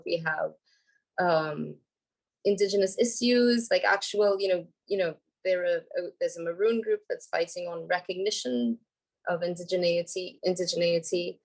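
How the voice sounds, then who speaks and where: A woman, hoarse from a cold, speaking on a video call as a panel speaker, thoughtful with many ums and restarts. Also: lowish voice, rough recording. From Trinidad and Tobago. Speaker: panel speaker, environmental lawyer. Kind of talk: casual panel talk.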